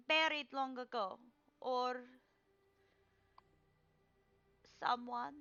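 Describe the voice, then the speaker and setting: A woman voicing an ominous old fortune-teller in a tabletop game, speaking in dramatic, halting pronouncements. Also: high voice, sharp tone, clean tone, no echo, lo-fi sound, pitched above the performer's own voice, exaggerated voice. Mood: mysterious, ominous. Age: older. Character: cryptic old woman fortune-telling seer, ominous and theatrical.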